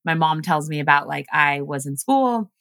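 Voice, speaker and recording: A woman's voice: deep voice, expressive, moderate pace, fairly bright tone, dry recording. A woman whose first language is Luganda, raised in Washington State, telling stories casually as a podcast guest with lots of fillers. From grew up in Washington State. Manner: chatty storytelling, many fillers. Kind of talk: casual conversation.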